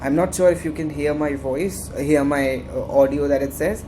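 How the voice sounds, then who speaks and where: A man with an Indian accent walks through a coding tutorial in a loose, conversational way, often repeating phrases to drive a point home. The recording carries a clear layer of background noise. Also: on the high side, fairly even intonation, normal speed. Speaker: workshop instructor. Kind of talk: casual screen-share tutorial.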